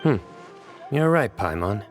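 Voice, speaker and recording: An actor playing a stern, composed investigator in a video game, speaking in a measured, authoritative way. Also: male voice, on the low side, big pitch swings, over loud music. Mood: calm, deductive. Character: stern, composed male investigator, calm and accusing.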